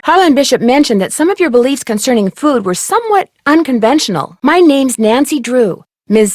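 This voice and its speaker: A woman's voice: a little high, dry recording, studio quality. A woman voicing a young female amateur detective in a video game, speaking politely and conversationally, with an inquisitive, persistent manner. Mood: friendly, inquisitive. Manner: polite, inquisitive, clearly enunciated questioning. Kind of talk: video game dialogue, conversational question delivery.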